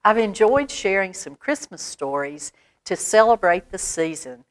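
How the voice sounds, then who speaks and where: A woman's voice: mid-range voice, expressive, a little slow, no room sound, poor audio. An American woman reading a children's picture book aloud, drawing words out expressively and adding little explanatory asides for young listeners. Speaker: library storytime reader.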